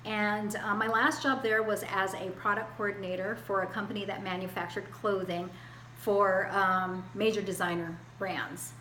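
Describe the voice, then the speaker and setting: A woman in her forties gives a plain, unhurried self-introduction, her bright voice often pausing on fillers and restarting sentences. She sounds like she is recording herself on a webcam in an echoey room. A faint hiss sits underneath her voice. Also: mid-range voice, fairly even intonation. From Hawaii, US. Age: 40s. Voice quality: hesitant, many fillers and restarts. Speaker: student.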